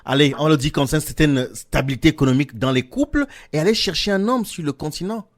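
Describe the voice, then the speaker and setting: A man hosting a radio talk show, formal and measured, steering callers and guests with questions. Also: on the high side. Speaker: radio host.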